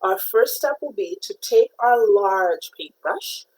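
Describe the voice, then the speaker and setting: A woman's voice: mid-range voice, lively intonation, takes it slowly, a little mellow, low-quality recording. A middle-aged woman from Trinidad teaching a live painting lesson, explaining supplies step by step and greeting viewers by name. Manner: patient, step-by-step instruction. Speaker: art teacher.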